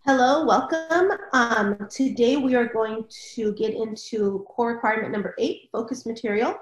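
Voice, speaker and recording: A woman with a slightly high voice, recorded in a roomy, echoing space, moderates a technical discussion. She reads formal requirements aloud and puts questions to the panel. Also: moderate pace.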